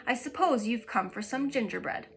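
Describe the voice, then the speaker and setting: A woman voicing a tiny, ancient, fierce old shopkeeper with a shrill, cackling voice who swings from sweet to menacing. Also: animated, moderate pace, faint music behind. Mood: chatty.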